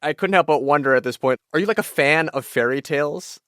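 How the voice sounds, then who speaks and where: A man's voice: on the high side, fairly quick, fairly bright tone, no room sound, studio quality. A man hosting a radio podcast, mixing scripted narration with conversational interview questions.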